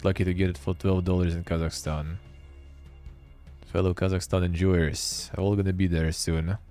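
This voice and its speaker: A man's voice: low voice, flat delivery, moderate pace, warm tone, soft background music. A man hosting a live stream, chatting offhandedly and reading text aloud with wry asides. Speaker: streamer and show host. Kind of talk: casual live-stream commentary.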